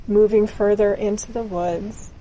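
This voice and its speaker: A woman narrating a scripted guided meditation in a gentle, soothing, unhurried manner. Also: medium pitch, fairly even intonation, a little hiss or hum, rough recording.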